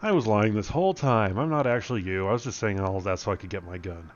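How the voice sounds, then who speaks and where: A man's voice: on the low side, animated, quick pace, lo-fi sound, lightly acted. Man playing a smug, long-winded villain boss. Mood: gloating.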